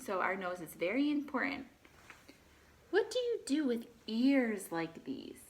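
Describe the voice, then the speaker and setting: A woman with a fairly high voice reads a picture book aloud to children in an expressive, teacherly way. She breaks off for chatty asides and questions to the listeners. Faint noise sits behind her voice. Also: unhurried.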